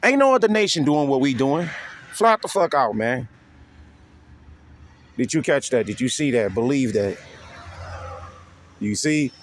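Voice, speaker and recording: A man's voice: mid-range voice, lively intonation, unhurried, pauses often, slight background noise. A man preaching in a profane, taunting rant, repeating a rhetorical question again and again. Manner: repetitive and taunting, keeps asking "you see?". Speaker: street preacher.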